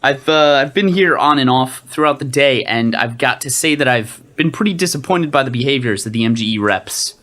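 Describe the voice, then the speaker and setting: A man's voice: medium pitch, animated, fairly quick, few pauses, bright, forceful tone. A man playing an earnest, indignant radio field reporter in a scripted satire, speaking in a prim, formal manner. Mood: disappointed.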